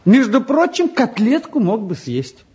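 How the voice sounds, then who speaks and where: A high-pitched young man fresh out of school in a radio play. He banters breezily and expressively, a glib, restless idler with a warm heart. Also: wildly swooping pitch, a little slow, exaggerated voice. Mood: breezy, teasing. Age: young adult. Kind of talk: stage-trained radio play acting.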